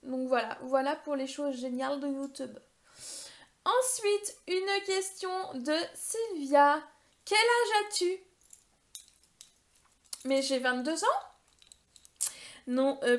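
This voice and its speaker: A woman's voice: high-pitched, fairly bright tone. A woman in her thirties answering viewer questions in a casual vlog, chatty and rambling, with many hesitations and self-mocking humor. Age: 30s. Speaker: beauty YouTuber.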